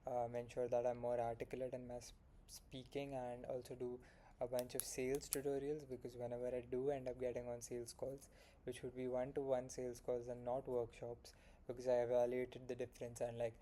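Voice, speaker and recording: A man's voice: mid-range voice, monotone, a little fast, mellow, a little hiss or hum, rough recording. A young man with an Indian accent talking through his day in a frank, rambling late-night vlog, full of fillers and self-corrections. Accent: Indian English. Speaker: solo founder vlogging his progress.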